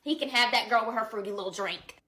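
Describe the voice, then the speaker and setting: A woman's voice: fairly high pitch, quick pace, pushed and bright, slight background noise. A woman talking casually and comedically with a sassy manner. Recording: echoey room sound.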